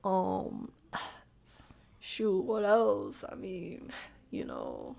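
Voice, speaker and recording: A woman's voice: mid-range voice, huge pitch swings, gravelly, a little mellow. A woman streamer giving casual, playful commentary to a live chat, talking in internet slang. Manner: slangy, playful, drawn-out delivery. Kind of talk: casual livestream commentary, chatting with viewers.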